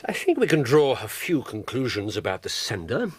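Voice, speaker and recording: An actor with an English accent playing a keen, eccentric gentleman detective, incisive and drily amused, in a radio drama. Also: mid-range voice, sing-song, normal speed, slightly rough. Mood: intrigued, brisk. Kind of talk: British radio drama acting.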